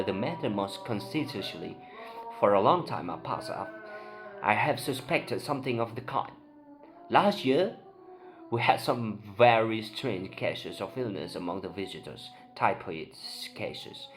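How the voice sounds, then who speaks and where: A man playing an excitable, proud town doctor in an amateur dramatic play reading, with an emphatic, declamatory delivery. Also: on the low side, measured pace. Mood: earnest, explanatory. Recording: voice over prominent background music.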